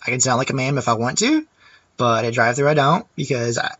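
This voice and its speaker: A man's voice: mid-range voice, expressive, fast, a bit bright, no room sound, muffled recording. A man vlogger ranting casually to camera, chatty and rambling with restarts.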